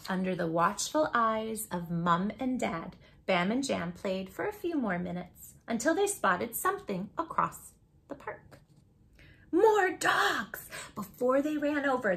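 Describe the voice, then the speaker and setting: A woman's voice: medium pitch, a little slow. A woman hosting a children's read-aloud, speaking affectionately and expressively straight to young listeners with friendly asides. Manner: affectionate, encouraging, addresses young listeners directly; expressive, emphatic delivery. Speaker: host reading children's picture books aloud.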